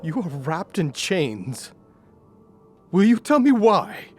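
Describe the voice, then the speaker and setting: Guy acting as bitter old victorian miser, husky and slow, theatrical audio drama style. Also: high voice, very animated, soft background music, exaggerated voice. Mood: terrified, pleading. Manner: theatrical, swinging from curt to pleading. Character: bitter old male miser, skeptical but frightened.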